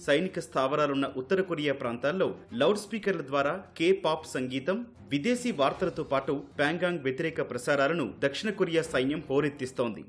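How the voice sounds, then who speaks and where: A man delivering a scripted news report voice-over. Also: on the high side.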